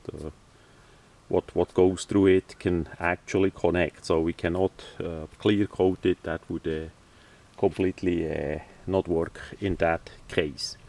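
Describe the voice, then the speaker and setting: Slow, low-voiced man, halting delivery. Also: level tone, mellow. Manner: halting, hesitant, matter-of-fact delivery. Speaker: hobbyist presenter. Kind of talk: casual hands-on product demonstration. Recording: distant microphone.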